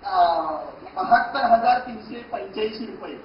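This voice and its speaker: A man's voice: high voice, expressive, moderate pace. A man playing a young prospective groom in a comic stage play, asking blunt, casual questions. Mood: matter-of-fact. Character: brisk young prospective groom vetting a match. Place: theatre stage. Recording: noisy muffled echoey stage, distant mic.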